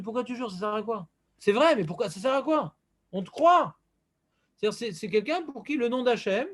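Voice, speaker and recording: A man teaching an informal religious class, bantering and joking with his students. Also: high voice.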